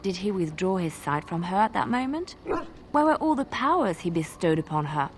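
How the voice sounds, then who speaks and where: A devout young woman adventurer from a fantasy game, with a slightly low voice. She speaks earnestly and pensively, as if pondering her faith. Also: very animated, moderate pace, a little hiss or hum. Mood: pensive, reverent. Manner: earnest, reflective. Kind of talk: fantasy video game dialogue.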